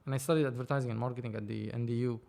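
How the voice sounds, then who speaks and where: A man, a podcast guest telling his story in a casual, animated, rambling way with many restarts. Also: medium pitch, rapid-fire, gravelly. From Lebanon. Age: 30s. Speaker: film producer, podcast guest.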